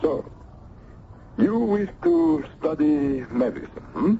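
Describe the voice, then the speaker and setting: An actor playing a learned, genial but wary older medical professor in a radio drama, ruminative and courtly with hesitant pauses. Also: male voice, on the high side, expressive. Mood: genial, brisk. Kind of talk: old-time radio drama acting. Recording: dull, noisy old radio recording.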